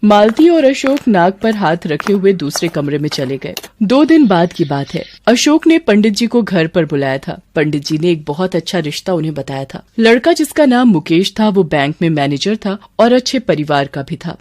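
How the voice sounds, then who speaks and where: A woman's voice: fairly low pitch, big pitch swings, moderate pace, hardly any pauses, a little mellow. A woman narrator reading a scripted storybook tale.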